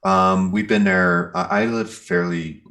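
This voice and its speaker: A man with a gravelly voice chatting casually as an interview guest, speaking in a hesitant, halting way. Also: deep voice, fairly even intonation, fairly bright tone. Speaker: interview guest, metal band singer and guitarist.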